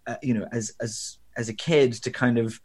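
A young man with a faint Northern Irish accent, speaking as an interview guest. He talks in a reflective, meandering way, with frequent ums and restarts. His voice sits at an ordinary middle pitch. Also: fairly even intonation, normal speed, husky. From Northern Ireland. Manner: reflective, rambling with fillers and restarts. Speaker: guest, theatre director. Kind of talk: conversational interview.

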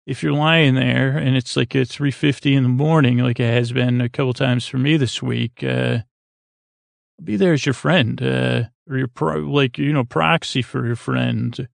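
A middle-pitched American man with a heavily creaky, gravelly voice, recorded close and dry. He hosts a bedtime podcast in a lulling ramble, wandering off, hesitating and tripping over filler words. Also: steady tone, no echo. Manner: meandering, halting, stumbling over words with many fillers. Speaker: host of a bedtime sleep podcast. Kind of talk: rambling bedtime monologue meant to lull listeners to sleep.

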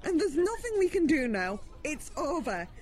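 A woman's voice: high voice, sing-song, normal speed, darkish tone. A woman playing a determined, pragmatic fantasy hero in an audio drama, earnest and insistent. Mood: resolute. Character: determined, pragmatic female fantasy hero urging on a despairing comrade.

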